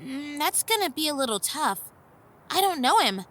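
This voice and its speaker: An actress playing an easygoing, naive young vampire seer in an audio drama, chirpy and guileless. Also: female voice, high voice, very animated, moderate pace, a bit bright. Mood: light, eager.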